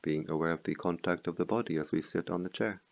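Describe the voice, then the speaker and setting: A man leading a guided meditation as a teacher, speaking in slow, measured phrases with pauses between them. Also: low voice, gravelly, no echo.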